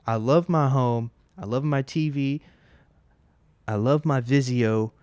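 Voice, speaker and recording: A man's voice: medium pitch, takes it slowly, darkish tone, clean tone, no room sound. A man in his twenties hosting a casual solo podcast from home, rambling and profane, full of fillers and restarts. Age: 20s. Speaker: podcast host. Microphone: close mic at home.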